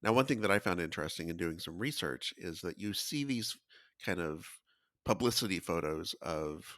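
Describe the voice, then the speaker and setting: Really low voiced guy on a podcast, explaining casually, no room sound. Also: a bit bright, no echo, studio quality. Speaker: podcast co-host. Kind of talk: casual informative talk.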